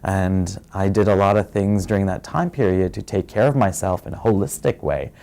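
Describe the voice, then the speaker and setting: A man from Fiji speaks with a low, mellow voice, recounting his life in a soft and reflective way. He pauses often and fills gaps with small hesitations, unhurried and personal. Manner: soft-spoken and reflective, many pauses and fillers. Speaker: interviewee sharing his story. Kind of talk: personal testimony.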